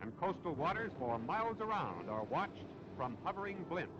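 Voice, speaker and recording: A man's voice: on the high side, very animated, normal speed, dull audio, background noise. An American man narrating a 1940s newsreel in a dramatic, emphatic, declamatory patriotic style. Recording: vintage 1940s newsreel soundtrack, loud music. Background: loud music.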